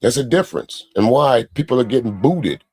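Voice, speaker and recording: A man's voice: medium pitch, lively intonation, brisk, a little mellow, slightly rough. A man hosting a livestream, giving casual commentary and replying to viewer comments in an argumentative way with rhetorical questions. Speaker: livestream host.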